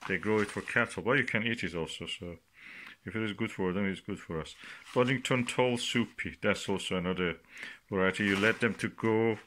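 A man giving casual, unscripted commentary as he shows items, speaking haltingly in short phrases with pauses between them. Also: on the low side, a bit bright. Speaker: gardening vlogger. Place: indoors at a work table. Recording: noisy home recording with clicks and rustling.

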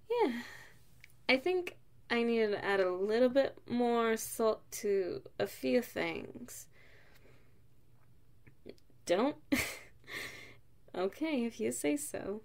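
An affectionate young-at-heart wife, acted by a woman in an intimate ASMR roleplay. Her voice is fairly high and she takes her time, speaking softly and playfully to her partner. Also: lively intonation, creaky, full character voice. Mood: tender, relaxed. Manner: soft, intimate, unhurried. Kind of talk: ASMR roleplay, one side of a dialogue.

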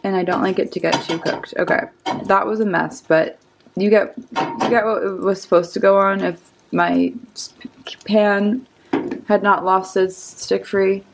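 A woman's voice: medium pitch, steady tone, measured pace, reverberant, noisy background. A young woman in her twenties chatting casually through a home cooking vlog, hungover, giggly and full of likes and ums. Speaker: cooking vlogger. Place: home kitchen and dining room, indoors.

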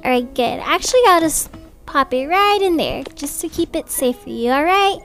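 A woman's voice: high voice, lively intonation, moderate pace, faint music behind. A woman playing an upbeat, chatty young mother in an improvised family roleplay, casual, reassuring and gushing. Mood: friendly, organised.

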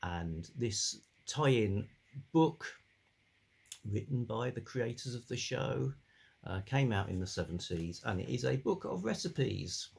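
Calm, gravelly-voiced older man. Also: medium pitch, slow pace. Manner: calm, rambling with many fillers. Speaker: book channel host. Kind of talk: casual chat about old books. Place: home study lined with bookshelves.

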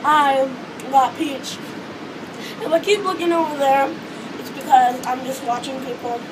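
Hoarse teenage girl vlogging on a windy balcony. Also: high voice, fairly even intonation, unhurried. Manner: chatty, rambling with many fillers. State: hoarse, losing her voice. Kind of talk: casual vlog chat. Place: outdoor hotel balcony above a beach. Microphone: phone or webcam close in front. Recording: wind and wave noise outdoors. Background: ocean waves and beach noise.

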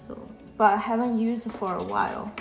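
A woman with a Chinese accent, whose first language is Chinese, talks haltingly while she draws fashion illustrations on a live stream. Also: mid-range voice, fairly even intonation, gravelly, over music. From China. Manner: halting, thinking aloud while drawing. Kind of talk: live-stream drawing commentary. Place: at a desk, drawing on paper.

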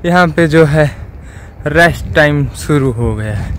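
A man's voice: on the high side, a little slow. A man in his twenties chatting casually to camera as a travel vlogger, holding a phone at arm's length outdoors. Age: 20s. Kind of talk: casual vlog chat to camera. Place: outdoors on a forested mountain trail.

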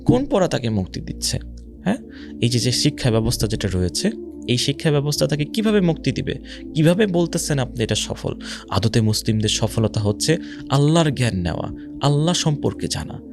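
Bangladeshi guy doing islamic commentary, mid pitched, pushy questions to the listener, bit of english, music clearly behind him. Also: sing-song, brisk. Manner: mixes in English words. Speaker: Islamic speaker. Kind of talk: religious social commentary talk.

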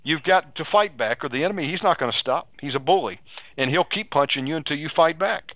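A man's voice: a little high, lively intonation, fast, dry recording. A man preaching and counselling live on the radio, urging and exhorting, repeating phrases and asking leading questions. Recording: tinny phone-like radio audio.

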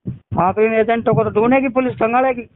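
A man's voice: very high voice, fairly even intonation, a little fast, no echo. A man with a Mewati accent complaining over the phone in a rambling, aggrieved way, swearing at times. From Mewat region, India. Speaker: caller making a complaint. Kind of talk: phone conversation, recounting a grievance. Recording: narrow-band, tinny phone call recording.

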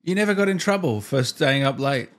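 A man's voice: mid-range voice, expressive, brisk. A man in his thirties hosting a casual interview from a home studio, enthusiastic and complimentary, speaking into a desk microphone. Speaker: interviewer, host. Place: small home studio, indoors. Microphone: close desk microphone, with headset.

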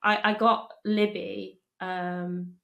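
A woman, chatting casually as an interview guest, halting and restarting often. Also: medium pitch, level tone, vocal fry, muffled recording. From Liverpool, England. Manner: halting, with frequent restarts and ums. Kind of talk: casual conversational storytelling. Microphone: earphone mic on a video call. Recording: echoey room.

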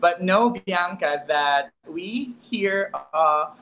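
A young man hosting an online interview over a video call, speaking haltingly with fillers and repeated words. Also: high voice, pushed and bright, low-quality recording. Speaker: host, interviewer. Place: indoor room. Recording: faint background noise.

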